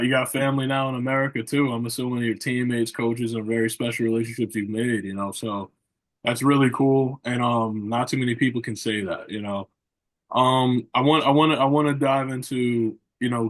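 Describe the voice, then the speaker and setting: A man's voice: mid-range voice, fairly even intonation, brisk. A man hosting a sports interview show, asking long rambling questions with many repeated phrases and "you know" fillers. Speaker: podcast host.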